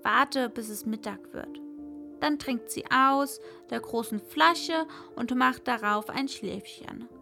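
A woman's voice: a little high, takes it slowly, gravelly, bright, forceful tone, faint music behind, heavily acted. A woman voicing a wild, bossy robber girl in a read-aloud fairy tale, brash and teasing.

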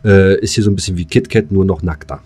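A man's voice: deep voice, quick pace, a little mellow, slight background noise. A man chatting as a podcast co-host in a loose, rambling, hesitant way with some crude slang. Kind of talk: casual podcast chat.

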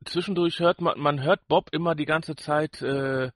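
A man's voice: a little high, fairly even intonation, normal speed. A man co-hosting a podcast in loose, casual chat, often repeating words as he works toward his point. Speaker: podcast co-host. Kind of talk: casual chat reviewing an audio drama.

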